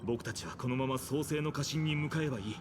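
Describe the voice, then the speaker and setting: A man's voice: mid-range voice, racing, noisy background, natural voice. A man playing an earnest, composed young fantasy hero in a video game, with a resolute, reassuring manner. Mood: calm, resolute. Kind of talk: Japanese video game voice acting. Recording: game soundtrack music under dialogue.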